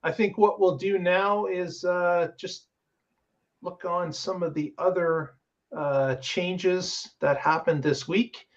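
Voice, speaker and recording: A man's voice: high-pitched, fairly even intonation, takes it slowly, muffled recording. A man hosting a casual panel discussion, explaining things as he goes. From Canada. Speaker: show host.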